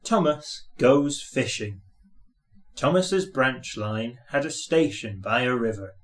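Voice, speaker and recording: A man's voice: mid-range voice, lively intonation, slow. A man reading a scripted children's story as narrator.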